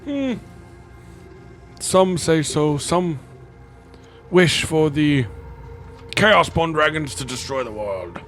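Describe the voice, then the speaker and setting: A man acting as game master, narrating and speaking in character in halting phrases with pauses. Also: a little high, expressive, a bit bright, soft background music.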